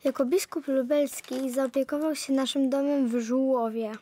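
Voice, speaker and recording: A woman's voice: high-pitched, fairly even intonation, moderate pace, warm tone. A woman reading scripted narration for an audio play.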